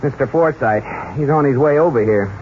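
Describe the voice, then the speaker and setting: An actor playing a sarcastic, put-upon husband in 1940s radio comedy, with wry, exaggerated reactions. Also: male voice, mid-range voice, brisk, lightly acted. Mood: flustered. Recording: muffled, hissy old radio recording.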